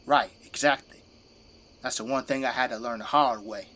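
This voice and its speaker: A man's voice: mid-range voice, moderate pace, bright, forceful tone. An actor playing a middle-aged, devoted father and plain-spoken government zombie fighter in an audio drama. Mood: earnest, reminiscing. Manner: commanding, plain-spoken, folksy turns of phrase. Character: gruff, devoted father working as a zombie-burning government janitor.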